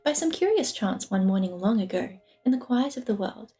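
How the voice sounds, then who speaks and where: A woman's voice: fairly high pitch, expressive, normal speed, mellow. A woman reading a novel aloud as storybook narration. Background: lofi music.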